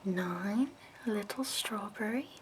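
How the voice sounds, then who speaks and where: A woman voicing a prim, chipper escort who does your makeup, speaking softly in a half-whisper with fussy, doting praise. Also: mid-range voice, slight background noise, lightly acted. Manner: soft-spoken, half-whispered and slow. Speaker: ASMR roleplay performer. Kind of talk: soft-spoken ASMR roleplay.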